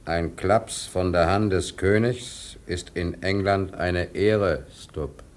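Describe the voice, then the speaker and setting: Slow, deep-voiced first mate. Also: male voice, fairly even intonation, slight background noise. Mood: calm, wry. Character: calm, sage-like male whaling ship's first mate. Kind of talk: German radio drama acting.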